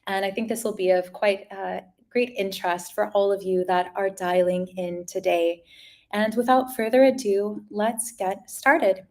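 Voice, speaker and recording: A woman's voice: medium pitch, fairly even intonation, unhurried, a little mellow. A woman hosts a live online webinar. Her introductions are upbeat and scripted, with an occasional filler slipping in. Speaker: webinar host.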